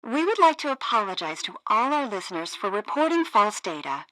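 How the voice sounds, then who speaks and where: A woman's voice: mid-range voice, sing-song, brisk, sharp tone, no room sound, low-quality recording. An actress playing an earnest, cheeky female radio news presenter in a comedic video game. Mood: newsreader polish.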